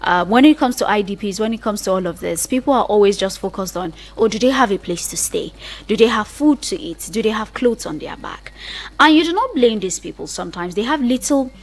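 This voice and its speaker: A woman's voice: medium pitch, lively intonation, fairly quick. A woman with a Nigerian accent presenting an explanatory radio talk in an earnest, emphatic manner, often repeating phrases to list her points.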